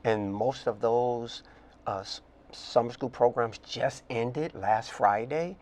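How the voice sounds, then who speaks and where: A man's voice: medium pitch, slow pace, a little mellow. An older man from Oakland with an American accent, answering as a guest in a conversational interview. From Oakland, California, United States. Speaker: interview guest, custodial and grounds director.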